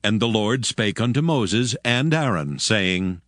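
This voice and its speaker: A man narrating scripture, slowly announcing chapter headings. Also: lowish voice, expressive, a bit bright, no room sound, clean studio sound.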